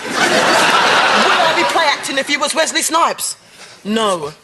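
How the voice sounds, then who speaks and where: A woman's voice: sits high, big pitch swings, slow, heavy background noise, full character voice. An actress playing a playful, theatrical young woman in a sitcom.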